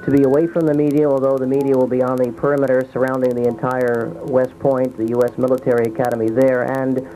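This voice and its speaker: A man's voice: mid-range voice, little pitch movement, fast talker, hardly any pauses, dark tone. Muffled male radio field reporter. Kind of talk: live on-scene reporting and brief street interviews. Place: outdoors at an airport. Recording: muffled, noisy 1980s radio aircheck.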